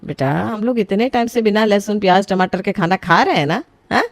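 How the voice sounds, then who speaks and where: A woman's voice: mid-range voice, animated, a little fast, no echo, natural voice. A woman voicing a strict, frugal middle-aged mother-in-law who scolds and bosses her new daughter-in-law, in a dry close recording. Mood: lecturing. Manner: scolding, bossy, calls everyone beta. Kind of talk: scripted family story voicing.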